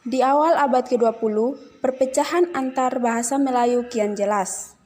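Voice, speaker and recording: A woman's voice: on the high side, moderate pace, darkish tone, big-room sound. A young woman student reading a class presentation with uneven speed.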